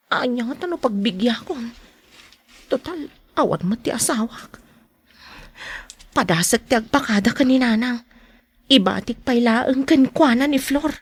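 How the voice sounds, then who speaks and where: A woman acting a married mother who keeps a secret, in a soft confiding podcast drama style. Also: on the high side, husky. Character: married mother at home, drawn into a secret affair. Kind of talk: Philippine podcast radio drama acting with narration.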